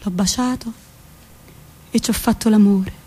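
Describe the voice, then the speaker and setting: A woman's voice: mid-range voice, steady tone, a little slow, dark tone, slightly rough. A woman playing an old village grandmother with a central Italian accent, telling her memories in a plain, reflective, colloquial theatrical monologue. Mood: tender, reflective.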